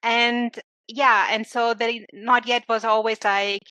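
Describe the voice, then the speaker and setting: A woman's voice: on the high side, level tone, takes it slowly, bright, forceful tone, clear, resonant tone, dry recording, low-quality recording. A woman, a podcast guest reflecting on her own story and searching for words as she goes. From Germany. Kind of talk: personal conversational storytelling.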